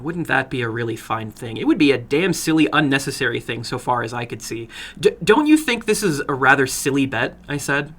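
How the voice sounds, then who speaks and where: A man narrating a short horror story dramatically, with a theatrical, deliberate delivery. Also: mid-range voice, fairly bright tone, breathy edge. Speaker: storyteller, horror fiction broadcast host.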